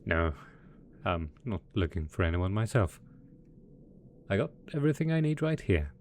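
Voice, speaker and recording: A man's voice: fairly low pitch, a little mellow. A man voicing a melodramatic, possessive mad scientist supervillain boss in a roleplay audio drama, smug and coaxing in manner. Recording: faint background noise.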